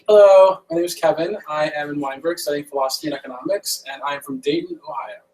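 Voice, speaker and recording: A young man on a student video call, quick and matter-of-fact. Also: fairly high pitch, lively intonation, gravelly, darkish tone, recorded from a distance, poor audio. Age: young adult. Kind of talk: casual video call chat.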